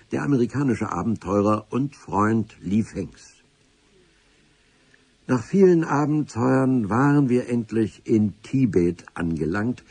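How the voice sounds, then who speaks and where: Casting: solemn civil-servant chronicler for radio drama. Husky, mellow tone, formal wordy narration with dramatic pauses. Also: male voice, fairly low pitch, no room sound, full character voice. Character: pedantic, solemn senior civil servant recounting adventures. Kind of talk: scripted radio play narration.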